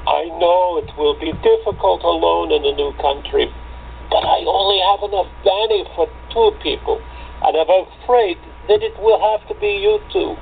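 Guy doing a worried loving village dad in a radio play, creaky voice, earnest, kinda noisy recording. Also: high-pitched, normal speed. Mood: earnest, persuading. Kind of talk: amateur radio play acting. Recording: tinny phone-like line with noise.

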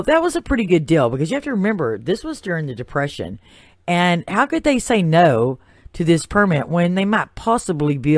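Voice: medium pitch, no echo. Texas-accented host reading a mystery story. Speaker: podcast host. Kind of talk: reading prepared narration on a mystery topic. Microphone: new desktop microphone.

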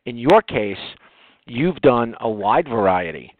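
A man hosting an interview in a casual, conversational way, with wordy, halting phrasing. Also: mid-range voice, sing-song, no room sound. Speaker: interview host. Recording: tinny phone-like audio, very dry.

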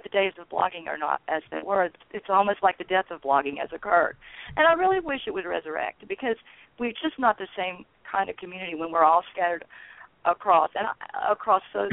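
A woman's voice: mid-range voice, vocal fry, no room sound. A woman guest on a radio interview, talking earnestly and chattily with bursts of enthusiasm, calling in by phone. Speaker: guest, founder of a peace network. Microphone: phone call. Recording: tinny dry phone line.

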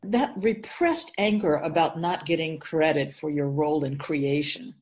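A woman playing a wise otherworldly spirit in a radio drama, explaining things patiently in a measured, knowing way. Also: low-pitched, a little mellow. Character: Keeper of Souls, wise otherworldly spirit in female voice.

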